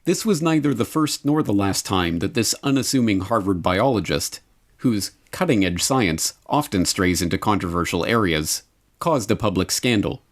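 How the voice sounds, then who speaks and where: A man reading scripted documentary narration in a measured, formal manner. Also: on the low side, expressive.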